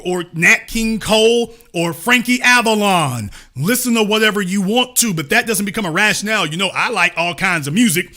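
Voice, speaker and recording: High, bright-voiced man lecturing hard. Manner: emphatic, lecturing, repeats points for stress. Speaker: commentator host. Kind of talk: reacting to an interview clip.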